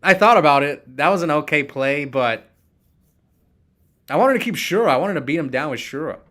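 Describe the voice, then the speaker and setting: A man's voice: fairly high pitch, lively intonation, moderate pace, pushed and bright. A man casually commentating a card game he is playing live, thinking aloud and chatting with viewers as he goes.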